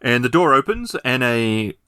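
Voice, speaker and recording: A man's voice: medium pitch, sing-song, normal speed, sharp tone, slight background noise. A man working as game master, improvising casual scene descriptions for a tabletop game and circling back to rephrase.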